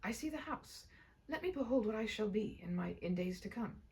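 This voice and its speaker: A woman voicing a solemn old miser from a storybook, trembling and pleading in a halting, breathy way. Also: medium pitch, animated, a little mellow, recorded from a distance, close to an everyday voice. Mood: anxious.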